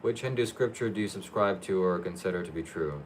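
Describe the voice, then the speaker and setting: Man, livestream host, somewhat deep voice, reads questions aloud, short plain answers, low-key. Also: a little fast, a little hiss or hum. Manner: matter-of-fact, low-key. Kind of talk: casual live Q&A.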